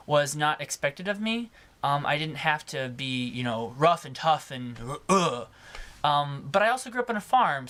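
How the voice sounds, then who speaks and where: A young man speaks in a naturally high voice for a male, chatting as a vlogger would. His delivery is casual and personal. He is expressive and leans on certain words, stretching them out for emphasis. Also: a little hiss or hum. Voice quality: higher-pitched voice for a man. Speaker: video blogger. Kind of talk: casual personal vlog talk to camera.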